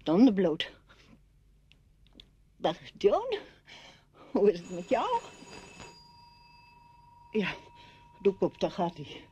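An actress playing a woman deliberately rehearsing cheerful greetings to herself in a radio drama. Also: mid-range voice, huge pitch swings, mellow, heavily acted. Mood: forced cheer. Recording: noisy, muffled old radio play recording.

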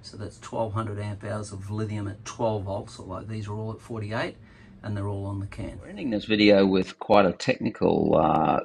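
Gravelly man explaining solar gear. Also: sits low. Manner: informal, explanatory, many fillers and self-corrections. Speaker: solar installer demonstrating a boat system. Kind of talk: casual technical walkthrough. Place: on a sailing catamaran, outdoors and in lockers, later voice-over on screen recording.

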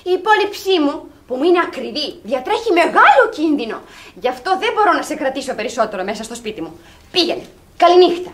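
High, pleading young woman. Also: big pitch swings, a bit bright. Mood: anxious. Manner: dramatic, pleading. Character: pleading, scheming young unmarried woman, ward of a guardian. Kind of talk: classic stage comedy in radio theatre. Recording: husky-sounding archive radio theatre recording.

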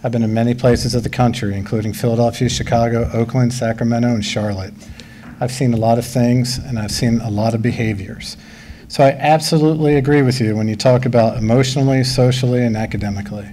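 A middle-aged school official answering the public in a calm, measured, courteous way at a meeting. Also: male voice, medium pitch, a little hiss or hum. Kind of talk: formal reply at a public meeting. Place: large school meeting room. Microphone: table mic close in front.

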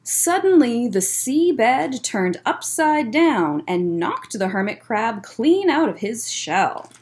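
A young woman with an American accent reads a storybook aloud for small children. Her voice is fairly high and swings widely in pitch. She takes her time, phrasing things simply and expressively. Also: big pitch swings, slow pace. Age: young adult. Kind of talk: reading a picture book aloud to children, with short asides. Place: indoor library room lined with bookshelves. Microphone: webcam at desk distance.

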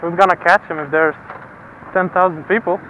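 Young dude motovlogging from his bike, kinda high voice, chill and laughing, some road noise. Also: steady tone, brisk, phone-quality sound. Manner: laid-back, laughing, swears casually. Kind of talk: casual helmet-cam commentary. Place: on a motorcycle outdoors on the road. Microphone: helmet-mounted mic. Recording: faint road and engine noise.

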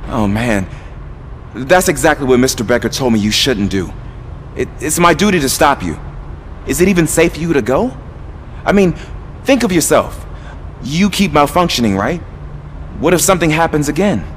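A man plays a nervous, fussy junior minder in a video game. His voice is fairly high and very animated, anxious and hesitant, over faint noise. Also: moderate pace, pauses often, close to an everyday voice. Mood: nervous, worried.

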